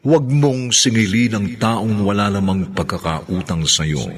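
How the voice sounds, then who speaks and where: A man's voice: lowish voice, measured pace, slight background noise. A man narrating a radio drama in a formal, theatrical announcer's manner.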